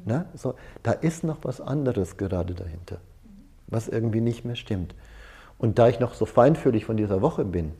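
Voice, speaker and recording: A man's voice: mid-range voice, gravelly, warm tone. A man leading a seminar in an informal, conversational way, often checking in with short tag questions. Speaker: seminar leader. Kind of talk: informal seminar talk with personal anecdotes.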